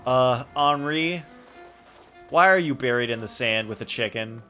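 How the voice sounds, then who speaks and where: A man's voice: mid-range voice, unhurried, sharp tone. A man livestreaming a game with casual, rambling, playful commentary, often asking incredulous questions as he chats with viewers. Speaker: game streamer. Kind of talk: casual live gameplay commentary, chatting with viewers. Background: faint game music.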